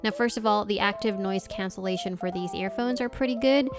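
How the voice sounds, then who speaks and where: A woman's voice: medium pitch, a little fast. A woman giving a casual product review as the host of an unboxing video. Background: background music.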